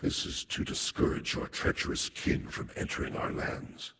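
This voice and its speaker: A man's voice: moderate pace, natural voice. A man voicing a cold, inhuman herald in a video game, issuing formal decrees to slaves in a stern, whispery, voiceless tone. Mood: stern, threatening. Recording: echoing, clearly noisy background.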